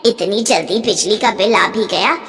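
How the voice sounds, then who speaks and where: A woman's voice: medium pitch, moderate pace, a bit bright, big-room sound, a little hiss or hum, voice pushed down, lightly acted. A woman playing a stingy, scheming older mother-in-law who scolds and bosses her family. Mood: grumbling. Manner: scolding, penny-pinching, bossy.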